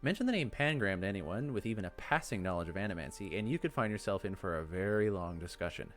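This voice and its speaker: A man's voice: low-pitched, animated, fast talker. A man reading game lore aloud as narration for a let's play. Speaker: gaming video host.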